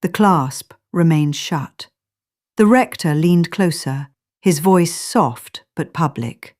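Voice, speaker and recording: A woman narrating a scripted story in a measured, literary storytelling manner. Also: deep voice, a little mellow.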